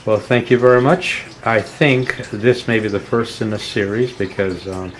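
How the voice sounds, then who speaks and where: A woman's voice: a bass voice, normal speed, background noise. A woman interviewing a guest, affirming her and summing up her points before asking questions.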